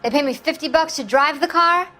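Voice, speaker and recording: Brassy, wheedling crook with a highish voice. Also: female voice, a little fast, sharp tone, soft background music. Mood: sulky, defiant. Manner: slangy, flirtatious, wheedling. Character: brassy, wheedling female car-theft suspect, slangy and self-pitying. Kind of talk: 1940s crime drama game acting.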